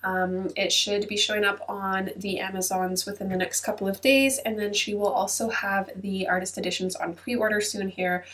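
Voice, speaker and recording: Woman, congested stuffy nose, chatty and hedging, mid-pitched, slightly distant from the mic. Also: a bit bright. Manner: chatty, many ums and hedges. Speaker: channel host. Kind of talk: casual chatty flip-through voice-over. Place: at her computer.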